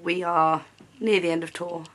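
A woman's voice: fairly low pitch, flat delivery, moderate pace, fairly bright tone. Chatty young woman vlogging at home. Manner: chatty, lots of "um" fillers. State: has a cold, hoarse. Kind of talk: casual vlog chat. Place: bedroom at home.

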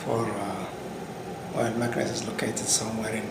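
Moroccan researcher, 50s, gravelly, halting interview answers, echoey room with loud AC hum. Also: male voice, lowish voice, little pitch movement. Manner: hesitant, many fillers and restarts. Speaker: agricultural researcher. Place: indoor room with curtain backdrop. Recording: roomy sound with air-conditioning hum.

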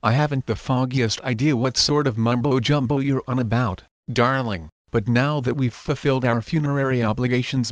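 A man's voice: mid-range voice, dry recording, highs cut off, close to an everyday voice. A man voicing a wily, flippant pirate captain in a motion comic, talking in florid, roundabout phrases. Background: background music.

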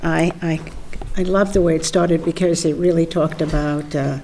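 An older woman speaks slowly and haltingly, reaching for each word. Her voice sits a little low. She is heard from a distance in a big echoing lecture hall, with a faint hiss underneath. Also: steady tone, crowd noise. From South Bronx, New York. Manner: halting, searching for words. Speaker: panelist. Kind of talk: panel talk. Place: large lecture hall at a panel table. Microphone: table mic on a stand. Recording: echoey hall, mic from far off.

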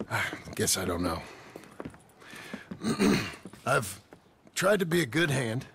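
A man acting a humble, plain-spoken former outlaw turned rancher in a video game, with a gravelly American voice and halting, polite delivery. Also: medium pitch, sing-song, noisy background, exaggerated voice. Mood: humble, hesitant.

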